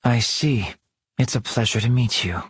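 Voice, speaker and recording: An actor playing a refined, reserved gentleman musician in a video game, speaking in a genteel, formal and guarded way. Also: mid-range voice, moderate pace, breathy edge, no room sound. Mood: polite, guarded. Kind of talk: scripted game dialogue.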